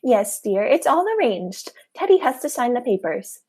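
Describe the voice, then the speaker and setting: A teenage woman playing a sweet, unflappable elderly aunt, genteel and gently scolding, in a high school radio play. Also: very animated, normal speed, slightly rough. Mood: calm, matter-of-fact.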